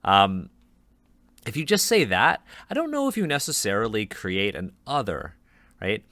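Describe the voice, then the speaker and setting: A man co-hosting a podcast discussion, talkative and quick to laugh and joke. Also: fairly low pitch, big pitch swings, bright, forceful tone, dry recording. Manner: talkative, laughs often, jokey asides. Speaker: podcast co-host. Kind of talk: casual panel discussion.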